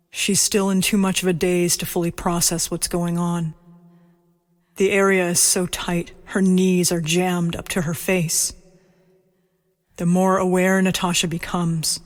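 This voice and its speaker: A woman narrating a scripted horror audio drama in measured, short present-tense statements. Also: fairly low pitch. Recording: loud music under the voice. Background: loud music.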